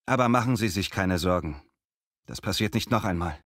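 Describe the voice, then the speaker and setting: A man playing an apologetic twenty-year-old neighbour in a clearly articulated language-lesson dialogue, speaking politely. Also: sits low, animated, brisk, a bit bright, no echo, studio quality.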